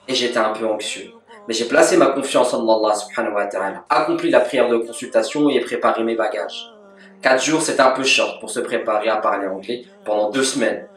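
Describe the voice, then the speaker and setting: A man giving a calm, measured religious lesson as a scripted voice-over, with Arabic devotional formulas woven into his speech. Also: medium pitch. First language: French. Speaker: religious teacher. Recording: echoey, from a distance.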